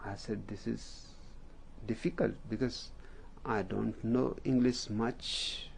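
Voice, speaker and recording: A man with an Indian accent, a native Hindi speaker, telling a story as a spiritual teacher in a deliberate, unhurried way with long pauses. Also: fairly low pitch, steady tone, gravelly, slight background noise, low-quality recording. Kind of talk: slow storytelling discourse.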